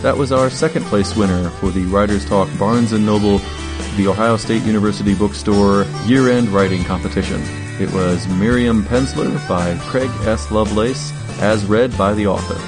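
A man with a fairly low voice works as program host, delivering a scripted announcement. Guitar music plays clearly behind him. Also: moderate pace. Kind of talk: scripted radio-style announcement.

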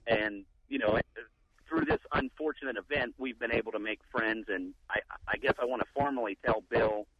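A man calling in to a radio show, speaking haltingly with many fillers. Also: fairly low pitch, muffled recording. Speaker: caller. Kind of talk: call-in radio talk.

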